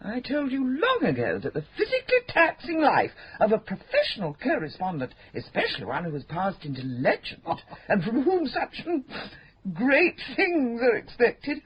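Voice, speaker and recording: A woman's voice: on the high side, wildly swooping pitch, moderate pace. An actress playing a witty, worldly older woman who teases an old accomplice in a radio drama, arch and genteel. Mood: teasing. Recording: dull old radio broadcast with faint hiss.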